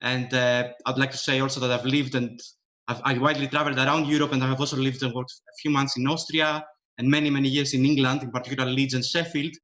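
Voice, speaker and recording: A man's voice: medium pitch, little pitch movement, quick pace, fairly bright tone. A man from Greece with a Greek accent, a professor introducing himself on a video-call panel in a light, self-mocking way. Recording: dull lo-fi call audio.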